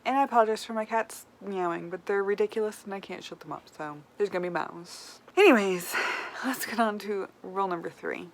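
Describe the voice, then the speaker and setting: A woman chatting casually to viewers as a book vlogger, with animated, self-deprecating asides. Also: mid-range voice, fairly quick. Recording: clear background room noise.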